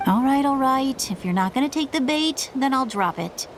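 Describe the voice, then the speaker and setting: High-pitched cheeky young woman. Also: animated, normal speed, background noise. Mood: teasing. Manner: playful, breezy. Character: cheeky, stubborn, spirited young woman doctor. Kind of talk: video game voice acting.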